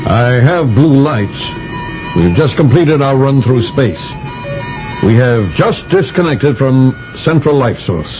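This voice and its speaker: An actor playing a sardonic, practical spaceship pilot in a radio drama. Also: male voice, medium pitch, animated, slow, over music. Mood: uneasy, sardonic. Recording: dull old radio broadcast.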